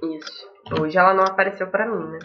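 A woman's voice: on the low side, steady tone, fast talker, fairly bright tone, slight background noise. A young woman with a Brazilian accent, reading a visual novel aloud and adding casual asides as a gaming host. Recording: dull lo-fi microphone, faint music. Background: faint game music.

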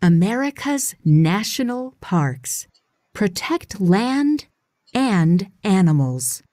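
A woman narrating a scripted children's read-aloud, with deliberate, expressive phrasing for young readers. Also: mid-range voice, no room sound. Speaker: audiobook narrator.

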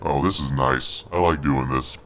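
Male gamer, extremely low monster voice, cocky snarky trash talk, noticeable game noise behind. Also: moderate pace, fairly bright tone. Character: snarky, boastful big male monster brute. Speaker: gaming video host. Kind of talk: snarky gameplay commentary in a put-on monster voice. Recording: home recording with noisy game sound. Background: fighting game sound effects.

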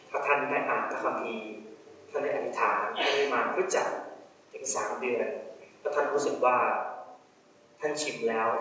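A man's voice: medium pitch, a bit bright, a little hiss or hum. A man with a Thai accent preaching an earnest altar call, polite and pleading, in a large church hall. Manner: polite, earnest, many polite particles. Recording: very echoey hall from far off.

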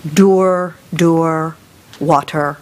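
A woman reading a poem aloud in a measured way at a podium microphone in a lecture room. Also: deep voice, fairly even intonation, darkish tone. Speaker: poetry reader.

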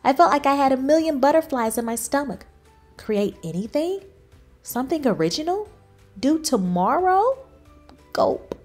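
A woman voicing an earnest, anxious cookie schoolchild in a children's storybook, with expressive, dramatic emphasis. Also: high-pitched, normal speed, soft background music, voice pushed up. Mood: nervous. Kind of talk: children's storybook read-aloud.

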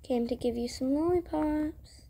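Woman, high creaky voice, mellow tone, hesitant, improvised roleplay storytelling. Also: a little slow. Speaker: video creator voicing all characters. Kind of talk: improvised character roleplay storytelling for a toy-world game video.

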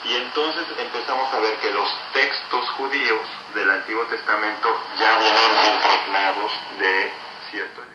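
A man's voice: fairly low pitch, fairly even intonation, moderate pace, far from the mic. A man in conversational discussion on a shortwave radio broadcast. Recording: muffled, echoey, very noisy shortwave radio.

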